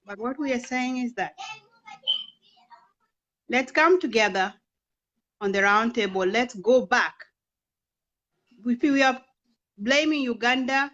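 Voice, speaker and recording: A woman's voice: on the high side, a bit bright, lo-fi sound. A woman in her thirties who grew up in Kenya, speaking as an interview guest in an earnest, persuasive way and asking rhetorical questions. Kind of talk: impassioned political talk.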